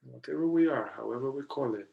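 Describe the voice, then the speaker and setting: A man leading a guided meditation in short, deliberate phrases with long pauses between them. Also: mid-range voice, gravelly, phone-quality sound. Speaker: meditation guide, somatic therapist.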